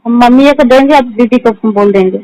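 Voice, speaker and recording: A woman's voice: fairly high pitch, a little fast, mellow, dry recording. A young woman arguing privately on a recorded phone call, refusing in a curt manner.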